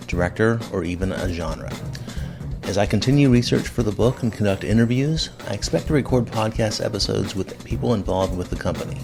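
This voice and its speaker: A man's voice: on the low side, vocal fry. A man reading a scripted promo as an author and podcaster. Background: music.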